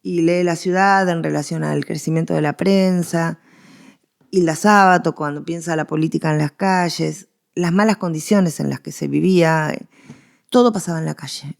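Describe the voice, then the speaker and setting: A woman's voice: low-pitched, vocal fry, a little mellow. A middle-aged woman with an Argentine accent explains her ideas emphatically in a conversational academic interview, repeating phrases to drive them home. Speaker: guest historian interviewee.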